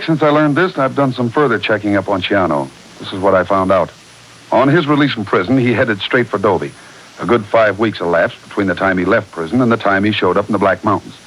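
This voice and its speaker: An actor playing a steady, shrewd cowboy hero, firm and earnest, in a 1940s radio Western. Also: male voice, lowish voice, quick pace, lightly acted. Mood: grave, resolute. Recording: hissy, noisy 1940s radio recording.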